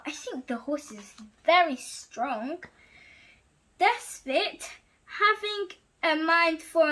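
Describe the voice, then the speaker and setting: A woman's voice: high-pitched, rough recording. A female host reading a story aloud expressively, with exclaimed asides to the viewers. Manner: animated, exclaiming reactions.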